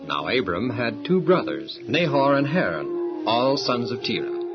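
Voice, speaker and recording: A man's voice: medium pitch, big pitch swings, a little slow. A man narrating a Bible audio drama in a formal, solemn storytelling style. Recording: dull lo-fi recording with music. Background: dramatic music.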